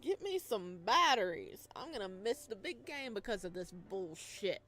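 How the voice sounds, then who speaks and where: A woman's voice: mid-range voice, very animated, normal speed, pushed and bright, soft background music. A woman voicing a drunk, grumbling older man in a visual novel game, with slurred demanding complaints. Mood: loud, annoyed. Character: drunk grumbling older male bar patron demanding batteries.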